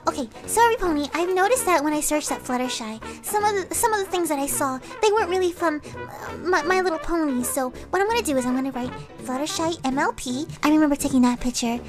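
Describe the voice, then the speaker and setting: A woman voicing a shy, sweet, easily flustered cartoon pony, with a girlish voice and a halting, apologetic, plaintive manner, improvising commentary in character. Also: high-pitched, lively intonation. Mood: soft, hesitant. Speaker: in-character host reacting to pictures. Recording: children's music playing under the voice. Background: children's music.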